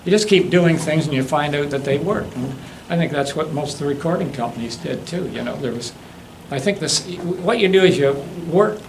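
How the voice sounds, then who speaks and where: Older man, rambling, slightly high voice. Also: gravelly, low-quality recording. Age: 70s. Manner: rambling, halting, anecdotal. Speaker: guest demonstrator and hobbyist. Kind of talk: informal demonstration talk to an audience. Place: classroom lecture room. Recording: faint room noise.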